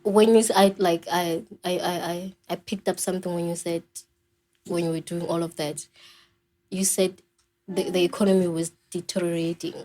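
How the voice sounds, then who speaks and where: A woman's voice: on the low side, little pitch movement, normal speed, mellow, low-quality recording. A woman hosting a podcast, asking questions conversationally with some stumbling restarts. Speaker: podcast host. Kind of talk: conversational interview.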